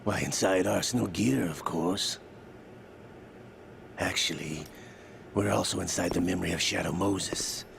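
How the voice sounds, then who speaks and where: A man's voice: sits low, a little slow, gravelly, pauses often, noisy background, heavily acted. An actor playing a sly, theatrical villain's henchman with an insinuating, menacing manner in a video game. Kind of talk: dramatic video game cutscene acting.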